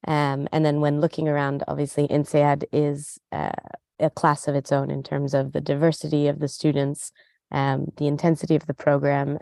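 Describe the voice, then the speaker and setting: Low, mellow-voiced American woman. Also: gravelly, dry recording, studio quality. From United States. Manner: measured, articulate. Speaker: student council co-president. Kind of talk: interview answers.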